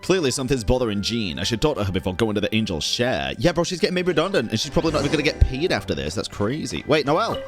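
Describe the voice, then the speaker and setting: A man's voice: medium pitch, big pitch swings, very fast, hardly any pauses, faint music behind. A man casually reacting to a game on stream, joking, chatty and profane.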